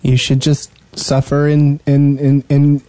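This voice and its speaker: Male co-host, dark mellow tone, drily teasing, informal, close dry recording. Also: fairly high pitch, completely flat delivery, slow, clear, resonant tone, no echo, low-quality recording. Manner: wry, teasing. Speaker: podcast co-host. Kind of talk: casual gaming podcast banter.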